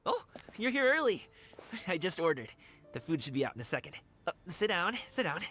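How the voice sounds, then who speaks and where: A man's voice: high-pitched, very animated, normal speed, background noise. An actor playing a friendly, earnest young man, a dancer in a video game, talking casually. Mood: eager, hospitable. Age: young adult. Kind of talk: English video game voice acting. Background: music.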